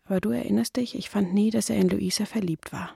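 A woman playing a confiding, observant gentlewoman in a period radio play, with a husky, measured delivery. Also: medium pitch, steady tone, no room sound, clean studio sound, exaggerated voice. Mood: gossipy, confiding. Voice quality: husky, creaky. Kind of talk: radio play acting, letter reading.

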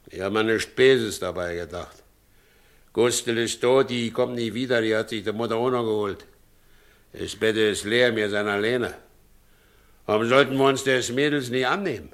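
An actor playing an aggrieved, weary working-class husband in a drama, speaking emphatic colloquial dialect. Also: male voice, medium pitch, a little slow, long pauses, close to an everyday voice. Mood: weary, hurt. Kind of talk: stage-style radio drama dialogue.

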